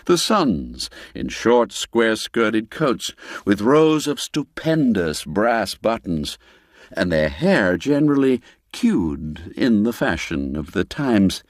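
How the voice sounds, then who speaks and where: A low-voiced man narrates an old-fashioned story at an unhurried pace, with a swooping, expressive range. His delivery is theatrical and savors every ornate phrase. Music plays behind him. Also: no echo, studio quality. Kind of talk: scripted literary audiobook narration. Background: background music.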